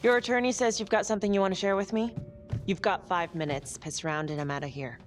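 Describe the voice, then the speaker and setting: A woman's voice: medium pitch, steady tone, quick pace, soft background music, lightly acted. An actress playing a tough, sceptical female investigator in a cinematic game, blunt and sardonic. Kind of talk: cinematic video game acting.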